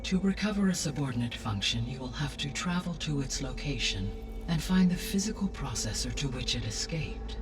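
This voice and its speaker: An actor playing a calm, grave artificial intelligence in a video game, speaking formally with precise, deliberate diction. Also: male voice, mid-range voice, distant mic, over music, natural voice. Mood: formal, instructive. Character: calm male artificial intelligence, grave, all-knowing custodian. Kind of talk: cinematic video game acting, exposition.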